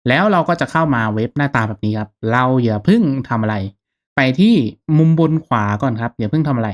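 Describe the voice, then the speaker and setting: A man narrating a casual step-by-step software tutorial in an informal, instructional manner. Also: mid-range voice, animated, fast. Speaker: tutorial host.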